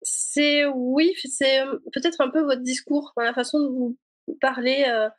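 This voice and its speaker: A woman in her forties with a fairly high voice answers questions in a halting way, full of pauses and fillers and quick laughs. The sound is dry and close. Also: fairly even intonation, no room sound. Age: 40s. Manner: hesitant, many fillers, laughs often. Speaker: guest, a reader of the book. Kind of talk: casual interview answers.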